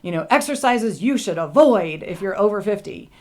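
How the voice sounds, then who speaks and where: A woman's voice: medium pitch, lively intonation, normal speed. A woman in her fifties, a podcast guest, talking casually and with determination, laughing often. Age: 50s. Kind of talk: casual interview chat.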